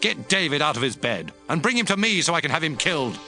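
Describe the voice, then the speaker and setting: A man's voice: fairly high pitch, big pitch swings, fast talker, sharp tone, faint music behind, full character voice. A man playing a wrathful, domineering king in a dramatized audiobook, commanding and accusing. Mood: angry, accusing.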